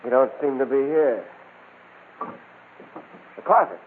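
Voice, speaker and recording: A man's voice: medium pitch, expressive, slow. An actor playing a tough policeman who barks short, threatening commands in a 1940s radio crime drama. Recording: noisy, tinny old-time radio recording.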